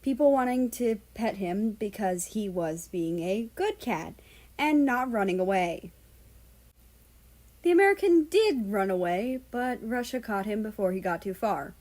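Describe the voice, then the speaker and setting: A woman's voice: medium pitch, animated, measured pace, a little mellow. A woman narrating a fan-written story aloud as its reader.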